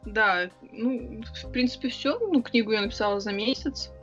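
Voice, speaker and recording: A woman's voice: fairly high pitch, lively intonation, moderate pace, lo-fi sound, faint music behind. A young woman, a writer and club organizer, talking casually as an interview guest, chatty and full of hesitation sounds. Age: young adult.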